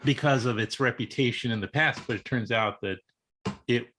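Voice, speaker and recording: A man's voice: mid-range voice, normal speed, a bit bright, slight background noise. A middle-aged man hosts an interview in a mid-range voice. He asks long questions and often restarts his sentences partway through. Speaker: interview host. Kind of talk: casual interview.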